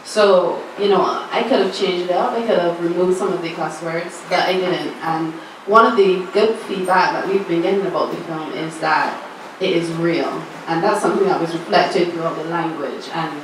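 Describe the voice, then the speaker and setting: A woman with a Barbadian accent talks candidly on a festival panel, her voice sitting slightly low for a woman. She sounds distant from the microphone, the room echoes heavily, and background noise sits under her words. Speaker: screenwriter and filmmaker on a panel. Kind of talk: panel discussion answers.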